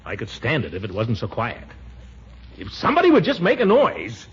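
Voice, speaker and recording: A man's voice: mid-range voice, huge pitch swings, fairly quick. A blustery middle-aged American uncle in an old 1940s radio comedy. His voice swoops expressively as he grumbles and frets pompously. The recording is muffled, with light noise. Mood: nervous, fretting.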